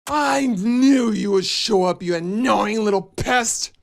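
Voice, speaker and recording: An actor voicing a gloating male mad-genius game villain with bombastic, theatrical, drawn-out delivery. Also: medium pitch, creaky. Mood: gloating, triumphant. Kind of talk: video game boss villain.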